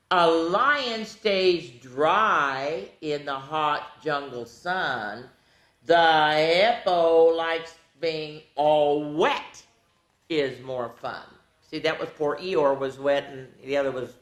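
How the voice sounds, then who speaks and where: Slow-paced woman reading storytime in echoey room. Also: fairly low pitch. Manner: animated, talks directly to children, stretches key words. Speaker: children's storytime host. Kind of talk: reading picture books aloud to young children with asides. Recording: roomy, echoey room sound.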